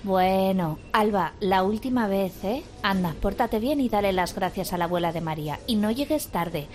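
Actriz haciendo de supervisora tranquila y educada. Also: female voice, mid-range voice, moderate pace, lightly acted. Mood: calm. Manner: calm, polite, firm. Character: patient supervisor and mother, adult woman. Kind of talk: radio drama acting.

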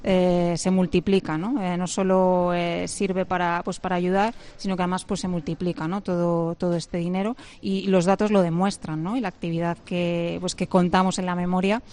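Hesitant low-voiced woman spokesperson. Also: flat delivery, moderate pace, hardly any pauses, darkish tone, clean tone. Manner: hesitant, many fillers. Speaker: church spokesperson. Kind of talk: interview answers.

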